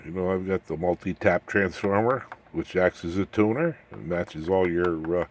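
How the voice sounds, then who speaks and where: A man's voice: low voice, slight background noise. A man giving an informal, rambling hands-on electronics walkthrough in a folksy way with dry humor, recorded on a handheld camera. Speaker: electronics hobbyist host. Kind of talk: informal workshop walkthrough, explaining as he goes. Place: indoor home electronics workbench. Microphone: handheld camera or phone mic, off screen.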